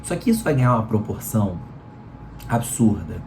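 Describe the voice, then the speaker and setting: A man with a Carioca accent, hoarse from a cold, giving a chatty book review. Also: fairly low pitch, very animated, normal speed, warm tone, soft background music. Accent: Carioca Brazilian Portuguese. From Rio de Janeiro, Brazil. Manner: chatty, leans on "né?" tags. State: hoarse, sore throat from a cold. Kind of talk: casual book review talk. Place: room at home in Rio. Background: electric fan running.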